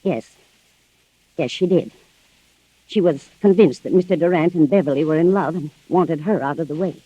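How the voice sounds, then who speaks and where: A woman's voice: low voice, normal speed, mellow, slightly rough, dry recording, dull audio. A woman playing a frightened, agitated housekeeper in old-time radio mystery style, speaking breathlessly and emphatically on a vintage recording. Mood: distressed, accusing. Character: frightened, agitated housekeeper and companion, reporting a murder.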